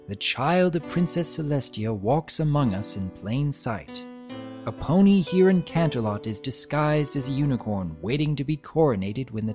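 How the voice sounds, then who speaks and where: Mellow, animated male narrator over music. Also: medium pitch. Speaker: narrator and host. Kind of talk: scripted storytelling narration and commentary. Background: background music.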